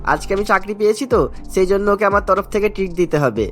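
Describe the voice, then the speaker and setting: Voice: medium pitch, fast talker, a bit bright, soft background music, lightly acted. A performer voicing an affectionate son in a cartoon, speaking in an earnest, coaxing way. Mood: happy, reassuring. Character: earnest, affectionate son, child then young man. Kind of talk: Bengali cartoon voice acting.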